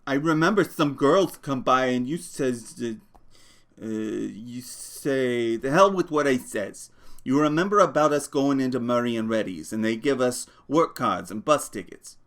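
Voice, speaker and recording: Male reader doing a small irritable drifter; gruff, grumbling, swings up when riled. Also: mid-range voice, animated, a little slow, fairly bright tone. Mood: exasperated. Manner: gruff, scolding, swearing. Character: small, wiry, irritable working man. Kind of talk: audiobook character voice.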